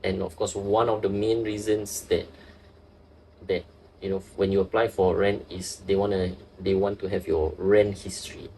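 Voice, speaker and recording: This is a young man with a Singaporean accent, talking as a guest about his nursing work. His voice sits very low and mellow, with a gravelly fry, and he speaks in an earnest, halting way full of restarts. A faint hiss of noise sits under the recording. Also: flat delivery, low-quality recording. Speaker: guest, nurse. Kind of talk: casual interview.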